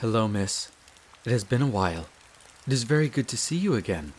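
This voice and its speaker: A man playing a courteous, devoted wolf butler in a roleplay audio drama, speaking in a formal, measured and deferential way. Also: lowish voice, sing-song, a little hiss or hum, low-quality recording. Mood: courteous, pleased. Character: courteous, devoted male wolf butler, head servant of vampire castle.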